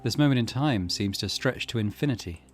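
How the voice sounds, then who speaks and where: A man's voice: medium pitch, animated, fairly quick, soft background music. A man hosting a gaming channel, giving casual, musing commentary and reading game text aloud.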